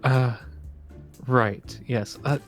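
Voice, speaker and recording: A man playing a dry, skeptical demigod camper in improvised Minecraft roleplay, speaking in a hesitant, deadpan way. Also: medium pitch, drawn-out words, soft background music.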